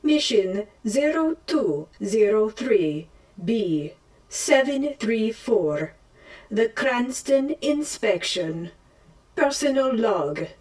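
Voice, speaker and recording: A woman playing a formal mission-log assistant in an audio drama, making measured, procedural announcements. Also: on the high side, sing-song, darkish tone, recorded from a distance, full character voice, poor audio. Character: formal female mission-log assistant of a time-travel force.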